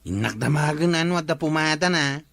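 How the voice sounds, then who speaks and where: A man with an Ilocano accent playing a forceful village buddy in a radio comedy drama. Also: a little high, quick pace, creaky, no room sound. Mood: emphatic.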